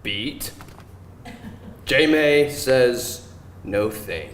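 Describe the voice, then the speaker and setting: Male stage-direction narrator, expressive mid-range read, roomy live recording with faint noise. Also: mid-range voice, drawn-out words. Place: live table read before an audience. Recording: distant mic, roomy venue, faint noise.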